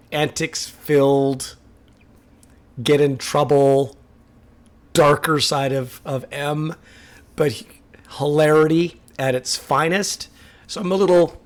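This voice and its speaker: A man hosting a music reaction, talking casually with a slightly raspy voice from a sore throat, laughing often and stopping the track to comment. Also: mid-range voice.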